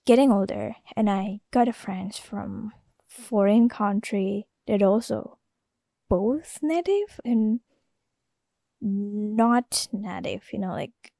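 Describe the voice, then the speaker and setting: Slow, gravelly Indonesian woman rambling. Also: mid-range voice, warm tone, no echo. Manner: rambling and hesitant, full of "like" and "you know". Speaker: podcast host. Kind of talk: casual solo podcast monologue.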